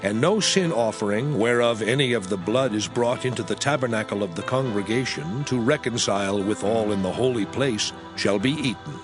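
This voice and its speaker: A man playing God proclaiming sacred laws in a dramatized scripture reading, solemn, deliberate and declamatory. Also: fairly low pitch, close to an everyday voice. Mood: solemn, commanding. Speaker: scripture voice actor. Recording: music under the voice. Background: background music.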